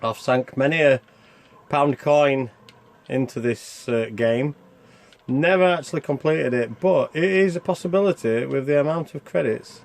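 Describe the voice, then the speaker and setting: A man talks through a video game in a casual, chatty way. His mid-range voice has a noticeable creak to it. He thinks aloud as he goes, often putting questions to himself. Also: medium pitch, a little slow. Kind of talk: casual gameplay commentary.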